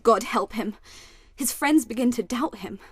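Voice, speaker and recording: An actress playing a dead wife's devoted, protective ghost in a radio play, emotive and pleading. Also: female voice, a little high, measured pace, noisy background, lightly acted. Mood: fearful.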